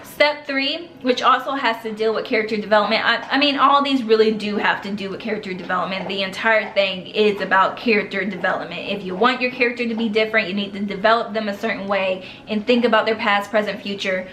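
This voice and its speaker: A woman's voice: medium pitch, steady tone, quick pace, few pauses, fairly bright tone. A young adult woman giving writing tips in a casual, rambling way, full of slang. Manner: chatty, rambling, uses slang. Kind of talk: casual talk to camera. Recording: echoey room, faint noise.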